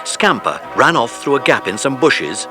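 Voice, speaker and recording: A man's voice: medium pitch, big pitch swings, moderate pace, music in the background. A man reading scripted narration for a children's audiobook.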